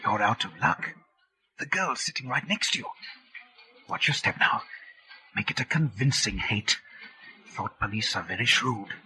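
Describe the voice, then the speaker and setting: A man playing the nagging, cynical inner voice of an anxious man in an old radio drama, husky and insistent. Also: fairly low pitch, expressive, measured pace, pauses often, sharp tone, a little hiss or hum, full character voice, poor audio. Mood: urgent, scolding. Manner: needling, warning, insistent. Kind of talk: 1950s radio drama acting.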